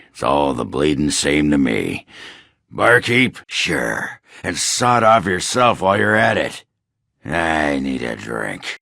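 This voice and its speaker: A male actor playing a gruff, surly, hard-drinking middle-aged dwarf warrior in a video game, rough and gravelly, blunt, sardonic and coarse in his grumbling. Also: a bass voice, sharp tone, no room sound, clean studio sound, exaggerated voice. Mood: surly, dismissive. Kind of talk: video game character dialogue.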